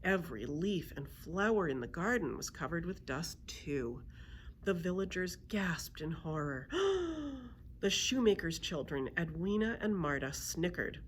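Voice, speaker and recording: A woman narrating a children's storybook aloud in an expressive read-aloud style. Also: fairly low pitch, unhurried, a little hiss or hum. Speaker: librarian reading aloud.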